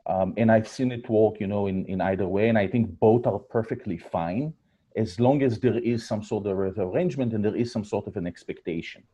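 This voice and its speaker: A man's voice: on the low side, fairly quick, warm tone, breathy edge. A man in his forties with an Israeli accent, a podcast guest telling stories about his family, with many fillers and restarts. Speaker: guest, startup founder. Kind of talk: podcast interview.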